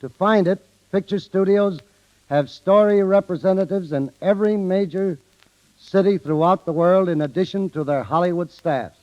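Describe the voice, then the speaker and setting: A man's voice: sits high, normal speed. A man giving a formal scripted 1930s radio talk as a guest studio executive, reading stiffly. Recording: muffled 1930s radio recording.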